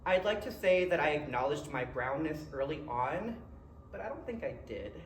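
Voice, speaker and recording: A man's voice: fairly high pitch, expressive, normal speed. A man with a Philadelphia accent giving a personal keynote speech in a conversational way, with short dramatic phrases and wry asides. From Philadelphia area, United States. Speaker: keynote speaker. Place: college event room. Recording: roomy, distant mic with faint noise.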